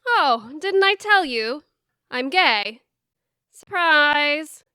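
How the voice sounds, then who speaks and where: Actress as urgent, bright-voiced young daughter. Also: female voice, sits high, expressive, very slow, no echo, full character voice. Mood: sheepish, blunt. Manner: urgent, pleading. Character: exasperated, earnest young adult daughter mediating. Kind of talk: audio drama acting.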